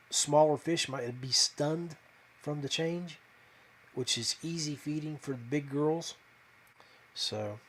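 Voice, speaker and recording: Higher-voiced man, folksy podcast host. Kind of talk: casual live fishing talk to viewers.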